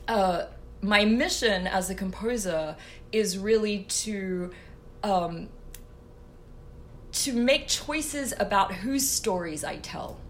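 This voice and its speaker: A woman's voice: on the low side, slight background noise. An Australian woman in her late thirties, a composer guest on a podcast interview, who speaks animatedly with frequent laughs and fillers. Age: late 30s.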